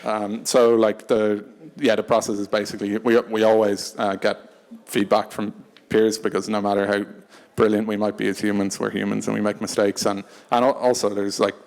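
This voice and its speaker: A man speaking unscripted on a discussion panel, hesitant and halting, often restarting his phrases. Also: lowish voice, level tone, creaky, a little mellow. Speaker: panelist from a tech company.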